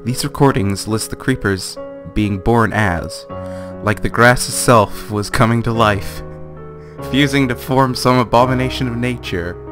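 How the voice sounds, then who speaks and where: Male let's play co-host, slow delivery, jokey, reading aloud dramatically with prominent instrumental music behind. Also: mid-range voice. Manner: jokey, easily amused. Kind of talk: casual chat and dramatic book reading.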